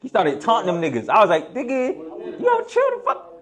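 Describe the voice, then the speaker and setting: A young man hosting a casual podcast, telling animated stories full of slang and profanity. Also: extremely high pitch, a little fast, vocal fry. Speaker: podcast host.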